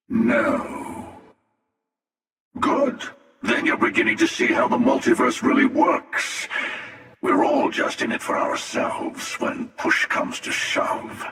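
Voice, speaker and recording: A cynical, world-weary hunter from a video game, speaks in a heavily creaking, gravelly voice soaked in cavernous echo. His delivery is slow and sardonic, full of amused menace and theatrical weight. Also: extremely high pitch, sharp tone, exaggerated voice. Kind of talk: scripted video game character dialogue. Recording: cavernous echoing reverb, distant.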